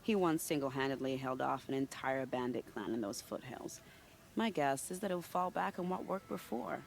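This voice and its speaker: A woman's voice: extremely low pitch, lively intonation, normal speed, gravelly, a little hiss or hum, full character voice. An actress playing a sly, flirtatious female spy in a game, teasing and confiding in a playful tone. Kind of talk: video game cutscene acting.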